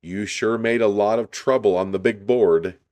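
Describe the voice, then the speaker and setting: A man's voice: fairly low pitch, steady tone, normal speed, darkish tone, natural voice. A man voicing a stern, bossy train master in a children's storybook, in a commanding, scolding way.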